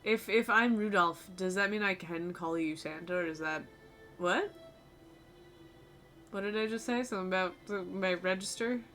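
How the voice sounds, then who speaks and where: A young woman streamer with a low, fried voice, chatting casually with her viewers in a rambling, loose way, her voice a little tired and sore. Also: moderate pace, a bit bright. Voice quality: low voice with vocal fry. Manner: rambling, talks to chat, swears casually. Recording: faint music behind.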